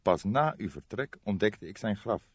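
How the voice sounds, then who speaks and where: A man reading an audiobook, voicing a calm, formal police detective with deliberate, composed delivery. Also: fairly low pitch, breathy edge, no echo, dull audio, pitched above the performer's own voice. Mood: calm, apologetic. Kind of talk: audiobook character voice.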